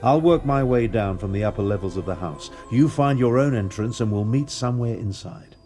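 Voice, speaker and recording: An actor playing a composed, principled covert operative in a fantasy video game, briefing an ally in a measured, formal, cautious manner. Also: male voice, lowish voice, dark tone, faint music behind, close to an everyday voice. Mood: grave, cautious.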